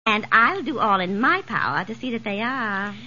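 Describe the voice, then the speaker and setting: An actress playing a catty, gushing society gossip in a 1940s radio comedy, chatty and theatrical. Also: female voice, a little high, expressive, normal speed, dull audio, lightly acted. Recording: hissy vintage 1940s radio recording.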